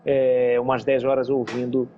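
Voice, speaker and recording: A man's voice: mid-range voice, little pitch movement, moderate pace, dark tone. A man, talking casually as a student on an online call, agreeable and often repeating himself. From São Paulo, southeastern Brazil. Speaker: English student. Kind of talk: casual conversation on a video call. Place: Skype call. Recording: dull online call, faint noise.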